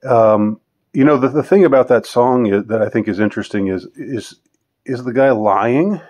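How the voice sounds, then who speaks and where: A man in his fifties answering viewer questions in a casual, unscripted monologue, rambling and halting as he lets thoughts trail off. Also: medium pitch, darkish tone. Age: 50s.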